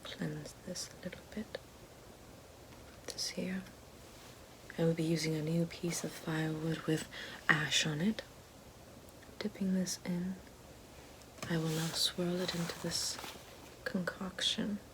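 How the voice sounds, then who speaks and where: A woman playing a haughty, fallen evil queen and potion-maker in a breathy, mostly whispered ASMR roleplay. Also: fairly low pitch, steady tone, fairly bright tone, slight background noise, rough recording. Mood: calm, ominous. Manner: slow, deliberate, haughty, theatrical. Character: fallen evil queen, sly potion-making sorceress down on her luck.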